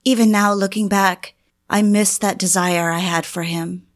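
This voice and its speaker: A woman's voice: medium pitch, fairly even intonation, unhurried, natural voice. An actress playing an obsessive, lovelorn housewife, narrating her confessions in a brooding, intimate audio drama style. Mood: wistful, ashamed.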